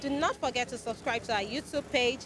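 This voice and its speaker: A young Nigerian woman hosting a street interview, bubbly and excited, speaking into a handheld mic at a busy roadside. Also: fairly high pitch, brisk. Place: busy city roadside outdoors. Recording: outdoor street with traffic noise.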